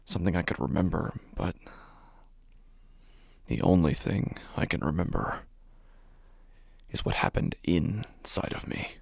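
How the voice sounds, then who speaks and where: A man playing an anxious, obsessive apartment dweller in a horror audio drama monologue, with a gravelly, often breathy voice and intense, deliberate delivery. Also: no echo, studio quality, exaggerated voice. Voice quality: low, gravelly, often breathy, dropping to whispers. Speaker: horror fiction narrator.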